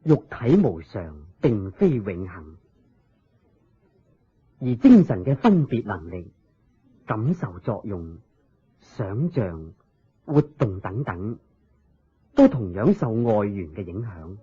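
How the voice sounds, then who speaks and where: An actor playing a serene, wise Buddha in a Cantonese radio drama, teaching in measured phrases with a husky voice and a Hong Kong accent. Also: male voice, lowish voice, very animated, warm tone, exaggerated voice. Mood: calm, teaching. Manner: measured, sermon-like explaining in short phrases. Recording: dull lo-fi radio drama recording.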